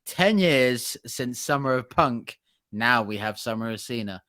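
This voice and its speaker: A man hosting a wrestling news podcast, talking in a rambling, halting way with plenty of fillers. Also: medium pitch, expressive, sharp tone, dry recording, clean studio sound. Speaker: podcast host. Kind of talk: casual news chat.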